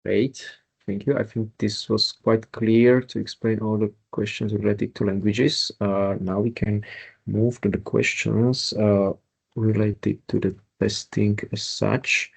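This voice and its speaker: Husky, gravelly moderator. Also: male voice, fairly low pitch, flat delivery, slow pace. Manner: polite, reads out questions. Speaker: webinar moderator. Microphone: video call.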